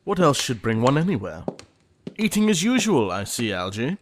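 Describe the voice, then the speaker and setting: Man playing animated, dapper English gentleman. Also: mid-range voice, normal speed, a little hiss or hum, lightly acted. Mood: airy. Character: dapper, self-assured upper-class English gentleman, playfully evasive. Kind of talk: audio play acting of Victorian comedy of manners.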